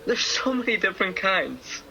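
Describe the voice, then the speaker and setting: A man's voice: high-pitched, big pitch swings, normal speed, creaky, sharp tone, full character voice. A man playing an earnest, loyal guardian angel, conversational in delivery, in a radio play. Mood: admiring wonder. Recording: noisy home recording with ambience.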